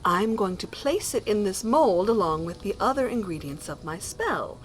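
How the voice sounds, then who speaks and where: A woman's voice: medium pitch, expressive, normal speed, slight background noise, lightly acted. A woman playing a vengeful, doting sorceress in a fantasy audio drama, theatrical and sweetly sinister. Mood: doting, gloating. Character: vengeful, doting evil sorceress who forges cursed weapons.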